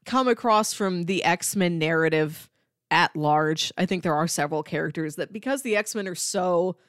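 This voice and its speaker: A woman talking casually as a podcast host, animated and peppering her sentences with "like". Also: fairly low pitch, moderate pace, dry recording, clean studio sound. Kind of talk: casual chat about comics.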